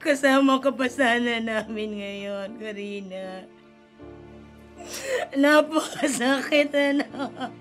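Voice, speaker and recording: A woman's voice: on the high side, slow, fairly bright tone, soft background music. A woman playing a grieving mother who sobs and cries in a radio drama. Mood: sobbing grief. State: crying. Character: grieving mother, middle-aged woman, sobbing.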